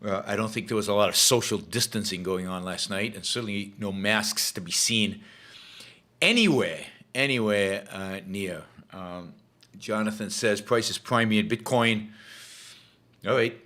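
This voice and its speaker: Hoarse low-voiced man hosting a livestream. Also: normal speed, pushed and bright. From Israel. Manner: rambling, many fillers and restarts. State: losing his voice. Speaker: show host. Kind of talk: casual livestream talk.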